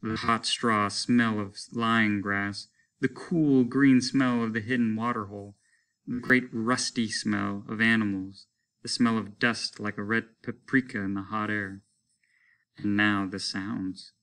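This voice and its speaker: A male teacher reading a short story aloud in a measured, descriptive way. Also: on the low side, fairly bright tone.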